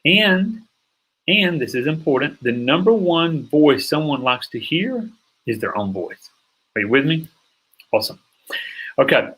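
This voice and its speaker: A middle-aged man teaching a sales course in an energetic, emphatic way, often stopping to check that listeners follow. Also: fairly high pitch, unhurried, long pauses, slightly rough, rough recording. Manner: energetic, emphatic, acts out sample calls. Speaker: sales coach. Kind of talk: online course lecture.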